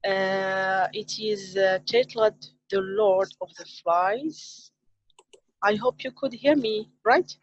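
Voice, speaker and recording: A woman in her thirties from Morocco, a science teacher, retelling a film on a video call in halting English with many fillers. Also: mid-range voice, no echo. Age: 30s. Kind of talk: casual storytelling on a livestream. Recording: dull lo-fi call audio.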